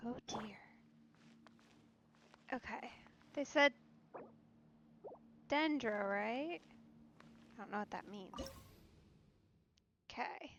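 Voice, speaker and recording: A woman game streamer giving casual, gushing reaction commentary full of soft exclamations. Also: fairly high pitch, lively intonation, extremely slow, long pauses, slight background noise. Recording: dull band-limited sound, music behind. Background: game music.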